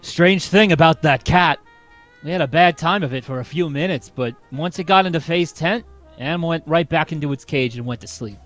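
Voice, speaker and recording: A man playing a worried, deferential circus boss in an old-time radio play, speaking in a measured, deliberate way. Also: a little high, a bit bright, faint music behind, close to an everyday voice. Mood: puzzled.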